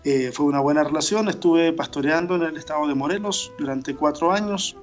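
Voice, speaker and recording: A man's voice: on the high side, a little fast. A man, a pastor being interviewed on the radio, who talks reflectively with frequent fillers and restarts. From born in Argentina, raised in Chile. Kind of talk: casual interview over a remote call. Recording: dull lo-fi remote line with faint music.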